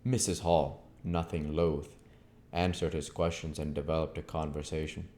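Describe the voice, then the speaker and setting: A man's voice: a bass voice, little pitch movement, normal speed, mellow, a little hiss or hum. A man narrating a classic novel aloud as an audiobook reader. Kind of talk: storybook narration, read aloud.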